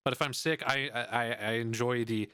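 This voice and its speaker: A man's voice: mid-range voice, fairly even intonation, bright, forceful tone, clear, resonant tone, no echo. Hesitant young man, dry sound. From United States. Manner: hesitant, halting, many fillers. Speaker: podcast co-host. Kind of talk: casual chat.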